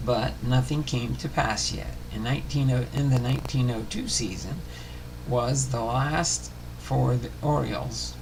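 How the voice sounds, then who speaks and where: A man hosting a baseball history series, reading a biography aloud in a halting way, stumbling and rereading words. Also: medium pitch, creaky, background noise.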